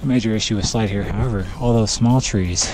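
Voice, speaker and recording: A man's voice: lowish voice, a little fast, a little mellow, rough recording. A man skiing alone in the backcountry, talking his situation through to his own camera in a hesitant, self-critical way, laughing nervously now and then. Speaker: backcountry skier filming himself. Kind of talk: thinking aloud to camera. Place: snowy mountain forest outdoors. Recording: outdoor wind and camera noise.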